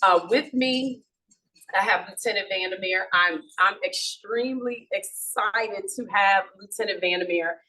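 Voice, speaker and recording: A woman's voice: mid-range voice, a little slow, sharp tone, far from the mic, poor audio. A woman police commander addressing a community meeting without a microphone, chatty and informal, with many fillers and restarts. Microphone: no microphone, from across the room.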